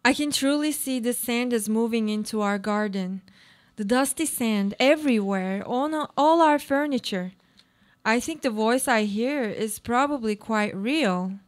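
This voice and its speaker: An actress playing a brooding, cynical, uneasy married woman in a literary radio play, speaking in a deliberate, poetic way. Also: fairly high pitch, lightly acted. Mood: searching, frustrated.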